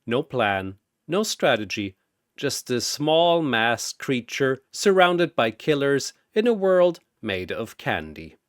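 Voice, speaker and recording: A man narrating a scripted story in a dramatic, theatrical and deliberate manner. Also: mid-range voice, very animated, no room sound, studio quality.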